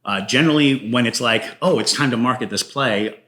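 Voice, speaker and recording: A man's voice: medium pitch, brisk, bright, forceful tone. A man talking casually and at length as an interview guest, a wordy storyteller who explains his creative work. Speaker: guest playwright and podcaster.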